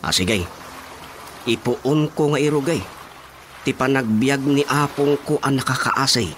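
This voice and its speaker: A man's voice: mid-range voice, measured pace, a bit bright, a little hiss or hum, natural voice. A man with an Ilocano accent playing a polite, earnest young man in a radio drama. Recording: radio drama with music underneath.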